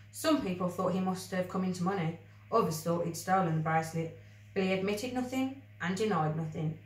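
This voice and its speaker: A woman reading a children's story aloud in an expressive, scripted storybook style. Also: on the low side. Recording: echoey room, distant mic.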